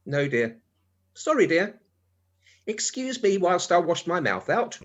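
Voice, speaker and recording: An actor playing a cheeky, henpecked middle-aged British husband in a comic radio play, confiding in a mock-apologetic way full of innuendo. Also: male voice, high-pitched, sing-song, slow pace, muffled recording, full character voice. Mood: dry, mock-meek. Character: cheeky, henpecked, sex-starved husband with a telescope.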